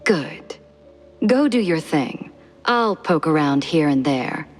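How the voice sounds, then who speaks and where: An actress playing a poised, shrewd woman, measured and coolly polite, in a noir cartoon game, with long reverb. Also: sits low, lively intonation, faint music behind, exaggerated voice.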